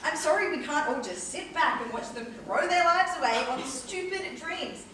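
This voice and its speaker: An exasperated middle-aged mother in mourning played by a young woman on stage. Her voice is very high and swings widely, with emphatic outrage. It is heard from far off in a very echoey hall. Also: animated, normal speed, noisy background. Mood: indignant. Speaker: stage actor in a student one-act play. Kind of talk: theatrical stage comedy-drama acting.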